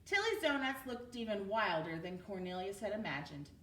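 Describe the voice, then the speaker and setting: A woman reading a children's storybook aloud like a teacher, with expressive delivery. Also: mid-range voice, normal speed. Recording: roomy, distant mic with background noise.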